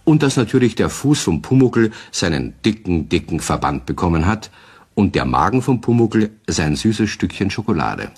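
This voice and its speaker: A man playing the narrator of a children's radio play, telling the story directly to young listeners in a husky voice. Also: deep voice, sing-song, moderate pace, darkish tone, heavily acted. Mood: animated, wry. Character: male storyteller addressing children.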